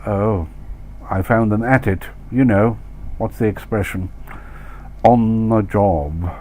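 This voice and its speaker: A man's voice: lowish voice, slow pace, warm tone, slight background noise. A man voicing a brittle, betrayed expat wife, terse, bitter and impatient, in a comic story reading. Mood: terse, wounded. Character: Mrs Tregunter-Smythe, brittle, exasperated betrayed expat wife. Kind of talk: acted dialogue in comic short story reading.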